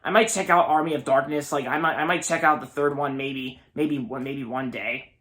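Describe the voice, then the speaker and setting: Halting young man reviewing a film. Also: mid-range voice, steady tone, fairly bright tone. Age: 20s. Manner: halting, many repeated words and restarts; enthusiastic, gushing. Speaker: movie reviewer. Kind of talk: casual movie review monologue.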